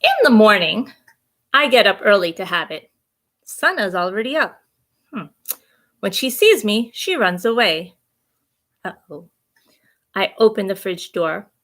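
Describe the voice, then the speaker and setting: A woman's voice: medium pitch, animated, a little slow, long pauses, a bit bright. A woman hosting children's story time, reading a storybook expressively with simple asides and questions for young listeners.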